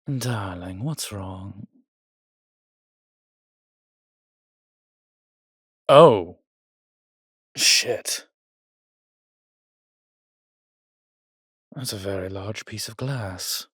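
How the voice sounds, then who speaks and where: Guy doing a suave menacing vampire for roleplay audio, flirty n possessive, lowish slightly husky voice, takes his time. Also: no room sound, studio quality, heavily acted. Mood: concerned, hesitant. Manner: slow, teasing, theatrical, speaks in endearments. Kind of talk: roleplay audio acting addressed to the listener.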